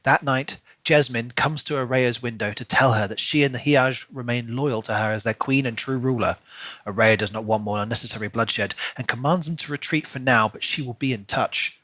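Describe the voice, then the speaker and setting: Low-pitched man, dry close sound. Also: fairly quick, bright, forceful tone, no echo. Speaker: podcast co-host. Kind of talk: book discussion podcast, reading a plot recap then casual chat.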